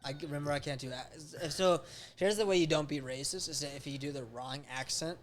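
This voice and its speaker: A man bantering on a live-streamed podcast, quick and jokey, riffing with crude humor. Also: on the high side, a little hiss or hum. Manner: quick, joking, riffs into silly voices. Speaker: podcast co-host.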